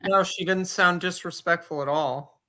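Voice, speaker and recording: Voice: mid-range voice, moderate pace, pushed and bright. A podcast co-host making short, dry remarks in casual chat. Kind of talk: casual commentary.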